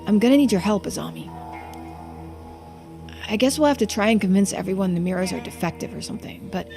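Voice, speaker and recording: A woman voicing an earnest, subdued young diver in a video game, speaking seriously and with quiet determination. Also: medium pitch, quick pace, vocal fry, music in the background, lightly acted. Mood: urgent, serious.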